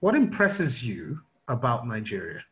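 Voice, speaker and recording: A man's voice: medium pitch, wildly swooping pitch, measured pace. Man, 50s, London accent, interviewer, hesitant rambling, video call mic. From United Kingdom. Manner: rambling, many fillers and restarts. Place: indoor room at home. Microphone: video call webcam.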